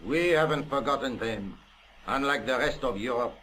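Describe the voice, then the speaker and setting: A cultured French police inspector acted by a man in a video game. He has a medium-pitched voice and a French accent, formal, proud and touchy, over some background ambience. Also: moderate pace, a bit bright, a little hiss or hum, natural voice, rough recording. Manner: formal, haughty.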